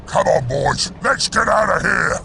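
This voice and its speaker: A gruff game ork boss, barking high with extreme gravel in the throat. Loud music and booms crash around him. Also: male voice, animated, measured pace, exaggerated voice. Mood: exasperated. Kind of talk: game cutscene character acting.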